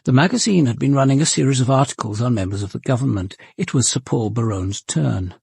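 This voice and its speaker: An actor playing a grave, authoritative senior police detective in a British radio drama, speaking in a measured, articulate British accent with dry understatement and a husky voice. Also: male voice, medium pitch, darkish tone, dry recording. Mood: measured, reflective. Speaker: lead actor and narrator. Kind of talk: radio drama acting and narration.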